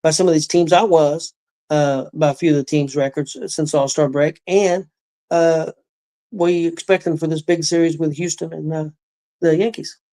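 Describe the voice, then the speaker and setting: A man in his sixties co-hosting a casual sports talk show, speaking in a hesitant, halting way with many fillers. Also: a little high, steady tone, mellow. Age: 60s. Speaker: co-host.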